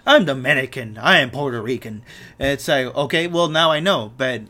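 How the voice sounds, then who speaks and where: A man's voice: medium pitch, normal speed, bright, forceful tone. A young man co-hosting a casual chat with a friend, blunt and swearing, laughing often. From Mexican heritage. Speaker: podcast co-host.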